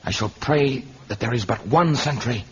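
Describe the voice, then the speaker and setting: An actor playing a noble, earnest and commanding jungle hero in a vintage radio serial, with formal, deliberate diction. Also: male voice, medium pitch, fairly bright tone, close to an everyday voice. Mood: tense. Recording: dull noisy vintage radio recording.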